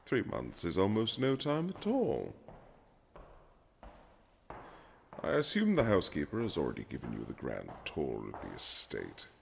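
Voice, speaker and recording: A man playing a haughty, sardonic aristocratic husband in a romantic period audio drama, speaking in formal, measured, teasing phrases. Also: very deep voice, wildly swooping pitch, gravelly, a little hiss or hum, full character voice. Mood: cool, mocking. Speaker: audio roleplay performer. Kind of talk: romantic period-drama ASMR roleplay, scripted.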